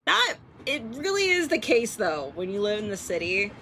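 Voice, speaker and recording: A woman's voice: mid-range voice, very animated, normal speed, sharp tone. A woman with an American accent on a live game stream, reading a visual novel aloud and commenting casually, swearing and tripping over words. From Portland, United States. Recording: clearly noisy background.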